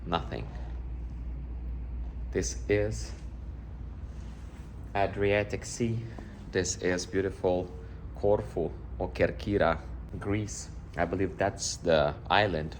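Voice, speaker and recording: Deep-voiced Ukrainian man vlogging. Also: steady tone, takes it slowly, long pauses, a little hiss or hum. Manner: chatty, slightly broken English, upbeat. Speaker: assistant cruise director vlogging. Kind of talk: casual vlog narration. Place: on a cruise ship, often on open deck. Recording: music playing behind the voice.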